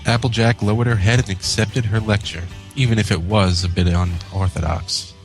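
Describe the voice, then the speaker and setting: A man narrates comic fan fiction as a co-host. His pitch sits on the low side, with faint music in the background. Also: fairly even intonation, moderate pace. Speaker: co-host and story reader.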